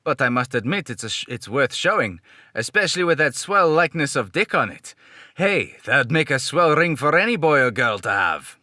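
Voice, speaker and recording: A man voicing an eager, chatty police sidekick in an old radio serial style, excitable and folksy. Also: on the high side, normal speed, no echo, studio quality, pitched above the performer's own voice.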